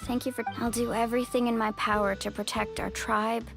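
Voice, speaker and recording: A woman's voice: on the high side, little pitch movement, a little fast, creaky, music in the background. A woman voicing a caring, loyal young warrior, earnest and emotional, in a dramatic video game style. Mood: solemn, supportive.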